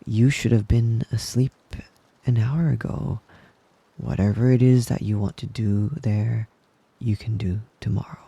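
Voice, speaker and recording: A man playing a possessive, doting young wolf-boy lover in intimate ASMR roleplay, coaxing and teasing in a breathy, husky voice that often drops to a whisper. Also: on the low side, fairly even intonation, mellow, dry recording, dull audio, exaggerated voice. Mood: coaxing, firm. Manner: slow, coaxing, teasing endearments.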